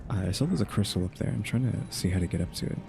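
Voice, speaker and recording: A man's voice: on the low side, animated, very fast, creaky, over music. A man giving casual, rambling game playthrough commentary, musing aloud with questioning asides. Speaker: gaming streamer.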